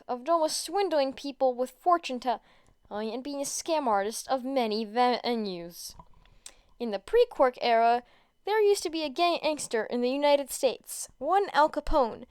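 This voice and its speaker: A woman reading fan fiction aloud in an amateur, expressive way, often stumbling and going back over phrases. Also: high voice, measured pace, clean tone, no echo, clean studio sound. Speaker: podfic reader. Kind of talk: casual introduction and expressive amateur story reading.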